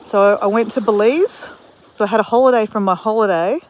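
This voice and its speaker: A woman's voice: medium pitch, fairly quick, low-quality recording. An Australian woman chatting casually while walking on a beach, telling a rambling story full of self-corrections. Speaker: vlogger. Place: outdoors on a beach.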